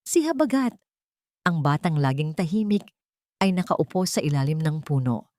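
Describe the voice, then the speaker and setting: Female story narrator, low register, earnest and measured, scripted sentimental read, very dry sound. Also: big pitch swings, a little mellow, no echo.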